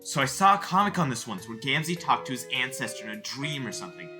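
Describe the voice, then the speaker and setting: A man's voice: medium pitch, sing-song, fast talker, sharp tone. A man playing an earnest, friendly, geeky young convention fan, chatty and apologetic, in a fan comic dub. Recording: music behind the voice.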